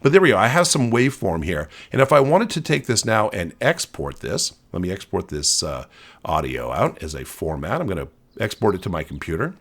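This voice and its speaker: A man presenting a casual, conversational software walkthrough, explaining as he goes. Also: low-pitched, sing-song, fairly quick. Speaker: tech tutorial presenter. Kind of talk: casual screen-share walkthrough.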